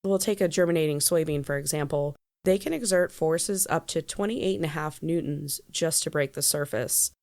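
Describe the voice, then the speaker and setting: A woman hosting a solo podcast, talking through a stuffy head cold and explaining with small laughs. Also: low-pitched. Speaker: podcast host.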